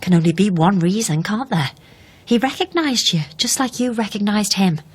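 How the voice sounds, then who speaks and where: An actress playing a concerned, steady young woman who supports her partner in a naturalistic radio drama, with a brisk, questioning manner. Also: mid-range voice, breathy edge. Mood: calm, coaxing. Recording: background noise behind radio drama dialogue.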